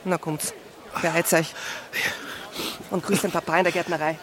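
An actor playing a brusque soldier on guard, speaking curtly and colloquially in a radio play. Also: male voice, a little high, level tone, moderate pace, natural voice. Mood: urgent, familiar. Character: brusque male soldier guarding a station entrance. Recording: busy outdoor crowd, noisy street.

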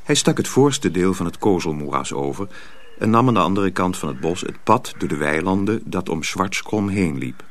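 A man narrating a radio play in a measured, literary storytelling manner, as a sober teller of a dark folk tale. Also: low voice, animated. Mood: measured, grave.

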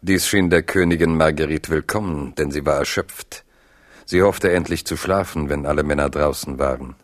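A man narrating a historical radio play as a grave storyteller, in a solemn, measured, literary manner. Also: a bass voice, no echo. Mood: grave, suspenseful. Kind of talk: dramatic scripted narration for German radio play.

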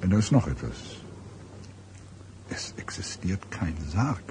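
An actor in a German radio drama playing a sombre, puzzled police detective, speaking gravely with halting, musing pauses. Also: male voice, low-pitched, sing-song, noisy background. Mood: grim, disturbed.

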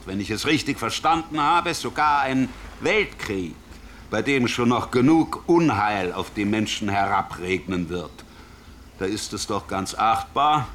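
A smug, pragmatic colonial official in a radio play. His voice sits fairly low and he speaks in formal, measured phrases. There is faint background noise. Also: fairly bright tone. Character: smug, pragmatic colonial official, self-declared realist politician. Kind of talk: radio drama acting.

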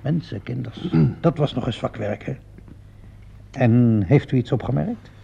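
An actor playing a sardonic, probing police inspector in a radio play, drawling ironic questions with small interjections. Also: male voice, mid-range voice, a little slow, vocal fry, warm tone, a little hiss or hum. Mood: wry, probing. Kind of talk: radio play acting in Dutch. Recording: dull lo-fi radio play recording.

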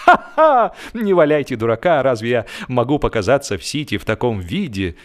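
A man voicing an excitable, indignant young Englishman, a comic grumbler given to exaggerated exclamations, in an audio story. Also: mid-range voice, moderate pace, lightly acted. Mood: scornful.

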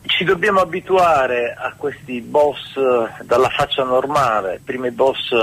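A man with a gravelly, husky voice giving spontaneous analytical commentary in a clip that sounds like radio or telephone. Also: mid-range voice, unhurried, fairly bright tone, low-quality recording.

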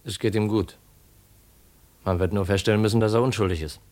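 Casting: man as loyal, stubborn young heir, lowish voice, brisk earnest delivery, vintage radio drama. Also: steady tone, a little mellow, lightly acted. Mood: concerned, earnest. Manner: earnest, direct. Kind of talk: 1950s German radio drama acting.

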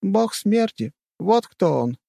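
Casting: grave young sister played by a man, high register, mellow tone, solemn audiobook delivery. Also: very animated, takes it slowly, gravelly, no room sound, full character voice. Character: solemn young woman, one of two sisters.

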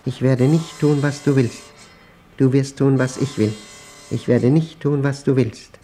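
An actor playing an obsessed, paranoid man in a radio drama monologue, speaking intensely in halting, clipped fragments as he battles a machine he fears. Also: mid-range voice, steady tone, mellow, faint music behind, lightly acted. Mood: defiant.

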